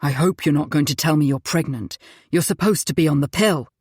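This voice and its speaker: A woman's voice: sits low, a little fast, darkish tone, slightly rough, no echo, studio quality, voice pushed down. A woman putting on a moody, controlling husband. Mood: angry. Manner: curt, dismissive, falsely sweet. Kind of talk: audiobook character voice.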